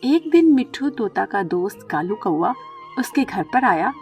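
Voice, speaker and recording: A woman's voice: mid-range voice, big pitch swings, measured pace, darkish tone, soft background music. A woman reading scripted narration for a children's story, as the storyteller.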